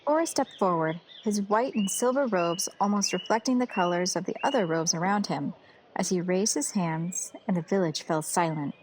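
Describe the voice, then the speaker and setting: A woman's voice: on the low side, normal speed, darkish tone, slight background noise, rough recording. A woman reading scripted fantasy story narration as the narrator of an audio series. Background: festival crowd ambience.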